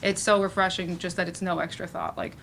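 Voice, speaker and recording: A woman's voice: lowish voice, fairly even intonation, a little fast, creaky, fairly bright tone, slight background noise. A woman moderating a panel discussion in an informal, chatty way, often saying "like" and "you know".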